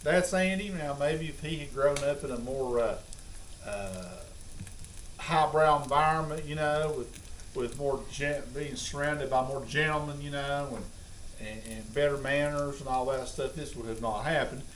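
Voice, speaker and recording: A man's voice: mid-range voice, normal speed, fairly bright tone. A middle-aged man with a Southern US accent, telling comic anecdotes in a rambling, folksy, exaggerating way. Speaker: storyteller on a home cooking vlog. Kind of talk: comic anecdote, casual chat. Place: home kitchen with food sizzling. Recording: roomy, distant mic, noisy kitchen.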